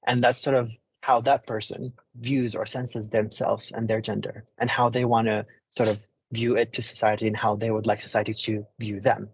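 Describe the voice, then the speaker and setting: A speaker from the Middle East explaining terms on a video call, pausing often with ums and restarts. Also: male voice, on the low side, little pitch movement, husky, dry recording, dull audio. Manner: hesitant, many ums and restarts. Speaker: panel speaker. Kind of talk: explanatory talk.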